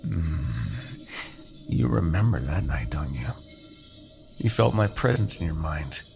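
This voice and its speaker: A man playing a smug, commanding Demon King in a fantasy ASMR roleplay, taunting and possessive, with theatrical, deliberate phrasing. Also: a bass voice, lively intonation, vocal fry, a little mellow, faint music behind, full character voice. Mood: coaxing, menacing.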